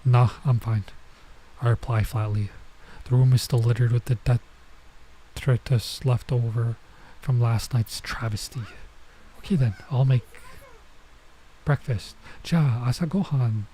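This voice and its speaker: Mellow-voiced man reading a game aloud. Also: medium pitch, measured pace, slight background noise, poor audio. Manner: stops to remark on and reread the text. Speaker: streamer playing and reading a visual novel aloud. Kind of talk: let's play read-aloud with casual side comments.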